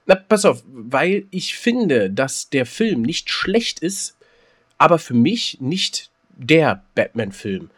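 A man's voice: fairly high pitch, sing-song, slow, husky. A man co-hosting a podcast in casual chat, hesitant and repeating words as he gives opinions. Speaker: podcast co-host.

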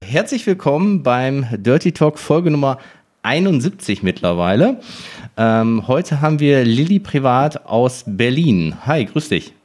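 A man's voice: medium pitch, very animated, measured pace, a little mellow. Casual male podcast host. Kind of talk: casual interview chat.

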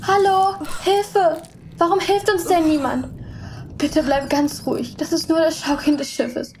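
High-voiced frightened young girl. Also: fairly even intonation, a little slow, noisy background. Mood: panicked, pleading. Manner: fearful, pleading, emotional. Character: frightened young girl aboard a medieval ship. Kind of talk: German radio play acting. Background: music.

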